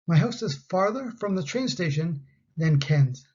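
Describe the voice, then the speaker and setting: A man from Canada with a Canadian English accent, teaching an English grammar lesson in slow, carefully spoken example sentences. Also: a little high, lively intonation, a little mellow, breathy edge, highs cut off.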